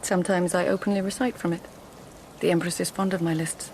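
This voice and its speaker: A woman's voice: lowish voice, steady tone, fairly quick, a little mellow, slight background noise, natural voice. A woman playing a shrewd, witty lady-in-waiting at an imperial court in a radio drama, speaking in a poised, articulate, formal way. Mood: wry, teasing. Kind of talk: 2000s radio drama acting.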